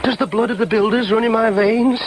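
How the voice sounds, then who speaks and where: A man's voice: sits high, fairly even intonation, brisk, slight background noise, lightly acted, rough recording. An actor playing a bewildered, self-pitying man with a Yorkshire accent, philosophising in anguished, repetitive radio drama monologue. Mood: reflective.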